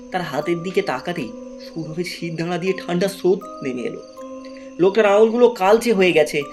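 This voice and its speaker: High-pitched, animated male horror narrator. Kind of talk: dramatic horror story narration.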